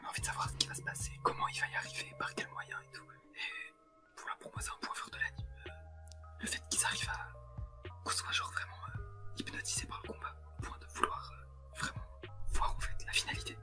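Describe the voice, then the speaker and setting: A young ASMR co-host whispers in a very high, airy register. The talk is casual and full of youth slang, like a relaxed review between friends. Music is clearly heard underneath. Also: a little fast.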